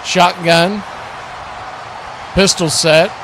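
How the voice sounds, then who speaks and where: Male football play-by-play host, high voice, live stream with clear background noise. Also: fairly even intonation, extremely slow. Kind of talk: live American football commentary.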